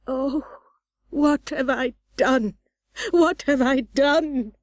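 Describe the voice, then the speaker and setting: A woman's voice: high voice, dry recording, studio quality. A woman playing a remorseful old wealthy recluse, trembling and anguished, in a dramatic audiobook reading. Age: older. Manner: slow, anguished, drawn-out delivery.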